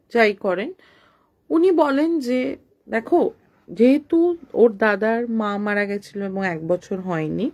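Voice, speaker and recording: A woman's voice: a little high, darkish tone. A woman telling a supernatural story in an informal, conversational way, mixing in English words. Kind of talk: informal spoken horror storytelling.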